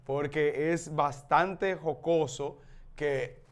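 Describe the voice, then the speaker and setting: A man in his thirties with a Dominican accent, chatting casually about the news as a TV co-host, in an amused, animated manner. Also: on the high side, very slow. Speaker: TV morning show co-host. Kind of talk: casual news chat and banter. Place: TV studio desk.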